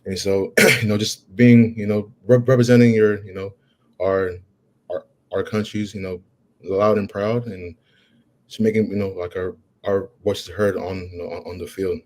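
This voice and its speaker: A young man, a college football player, answering interview questions in a halting way, with many "you know" fillers and restarts. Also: low-pitched, little pitch movement. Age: young adult.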